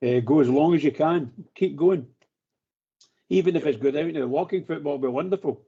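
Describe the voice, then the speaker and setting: An older man, hoarse from shouting, talks in a dark, raspy voice full of little pauses and fillers. He comes through a dull, muffled phone-in line. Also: a little high. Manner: hesitant, warm-hearted, lots of uh fillers. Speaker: fan radio pundit. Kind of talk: casual phone-in chat.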